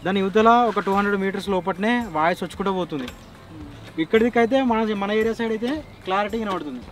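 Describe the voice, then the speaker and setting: A man's voice: high-pitched, fast, soft background music. A young man with a Telangana accent explaining something in informal, casual chat. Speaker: range-test helper.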